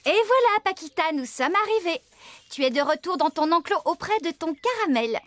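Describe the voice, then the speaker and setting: An actress playing a caring, chatty farmer woman in a children's cartoon, talking in an expressive, coaxing way. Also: very high voice, moderate pace. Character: caring, chatty, good-humoured farmer woman tending animals.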